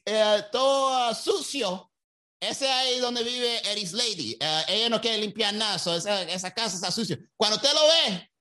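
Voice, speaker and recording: A man's voice: high voice, a little fast, sharp tone, voice pushed up. A man putting on a chatty Cuban man with a Cuban Spanish accent who gives rambling, gossipy street directions.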